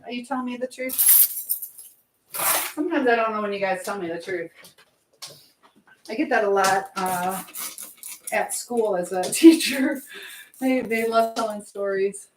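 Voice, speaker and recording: A woman's voice: medium pitch, a little slow, pauses often. A woman chatting casually to livestream viewers while she cooks at home, distracted and hopping between tasks and comments. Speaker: live streamer. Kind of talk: casual chat with live viewers while cooking. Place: home kitchen. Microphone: phone livestream, moving nearer and farther. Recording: echoey kitchen with faint background noise.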